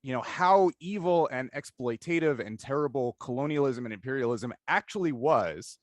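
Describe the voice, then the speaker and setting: A man's voice: mid-range voice, expressive, normal speed, no echo. Man interviewing in a dry, close recording. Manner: thinks aloud in long, analytical stretches, checking with "right?". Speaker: interviewer, podcast host. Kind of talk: casual discussion interview.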